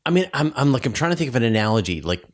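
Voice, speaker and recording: A man's voice: mid-range voice, very animated, racing. A man hosting a conversational science interview, inquisitive and thinking aloud as he rephrases things for a lay audience. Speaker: interview host.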